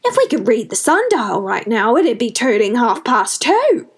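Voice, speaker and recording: A woman voicing an excitable, squawking village man in a storybook narration. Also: on the high side, normal speed, husky, full character voice. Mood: indignant. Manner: squawking, emphatic.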